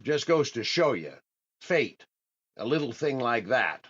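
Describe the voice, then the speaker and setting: A man's voice: mid-range voice, very animated, measured pace, fairly bright tone, slightly rough, heavily acted. Man playing a dithering radio-comedy shopper, muffled sound. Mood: wistful. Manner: hesitant, dithering. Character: fussy, indecisive, penny-careful male shopper. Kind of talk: old-time radio comedy acting.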